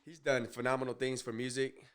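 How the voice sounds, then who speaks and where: A man's voice: medium pitch, flat delivery, a little fast. A man in his twenties with an American accent, chatting as a guest in a casual interview, easygoing and slangy. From grew up in Germany, American family. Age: 20s. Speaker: rapper, podcast guest.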